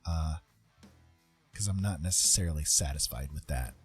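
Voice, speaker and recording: A man giving a casual live-stream painting tutorial in an informal, self-deprecating way, with a husky voice. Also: very deep voice, moderate pace. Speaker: art streamer and author. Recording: soft guitar music behind voice. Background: guitar music.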